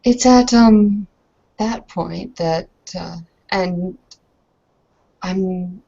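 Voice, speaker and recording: Lower-pitched woman improvising roleplay narration. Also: drawn-out words, vocal fry, dark tone, lo-fi sound. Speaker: roleplay player.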